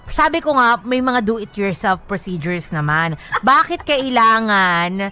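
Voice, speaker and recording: A woman's voice: medium pitch, lively intonation, measured pace, pushed and bright, smooth. A Filipino woman co-hosting a radio show as a DJ, bantering casually in a sassy, playfully scolding way. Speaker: radio DJ and co-host.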